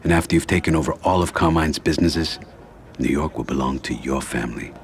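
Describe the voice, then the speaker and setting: An actor playing a calm mob boss giving measured advice to his soldier. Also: a bass voice, slight background noise. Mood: approving. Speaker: Michael, mafia boss.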